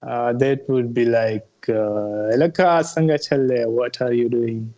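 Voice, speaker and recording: A man's voice: mid-range voice, unhurried, warm tone. A young man in his twenties with a Pakistani accent, a Pashto native speaker, answering hesitantly with many fillers over an earphone mic on a video call. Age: 20s. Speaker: guest, drone pilot. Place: plain room indoors. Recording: dull lo-fi call audio.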